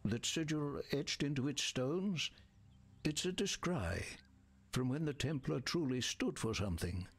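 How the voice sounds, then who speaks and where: A gravelly, learned game advisor. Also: male voice, a little high, expressive, exaggerated voice. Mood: wistful, solemn. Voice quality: gravelly, husky. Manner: measured, dramatic, eloquent. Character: grave, learned male advisor, wary and wistful. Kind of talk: scripted game dialogue.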